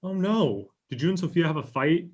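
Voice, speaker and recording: A man's voice: on the high side, expressive, normal speed, darkish tone, lo-fi sound. A young man acting an anxious, eager-to-please twenty-four-year-old in a table read, earnest and pleading, on a video call. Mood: eager, friendly. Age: young adult. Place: room at home, indoors.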